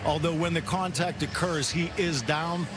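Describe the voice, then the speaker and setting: Slightly high American male rules analyst. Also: fairly even intonation, moderate pace, background noise. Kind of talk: unscripted explanation of officiating calls.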